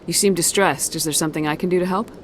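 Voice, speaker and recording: An actress voicing a blunt, impatient military commander. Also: female voice, fairly low pitch, fairly even intonation, fast, slight background noise, close to an everyday voice. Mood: curt. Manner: blunt, curt. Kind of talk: video game voice acting. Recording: game audio over music.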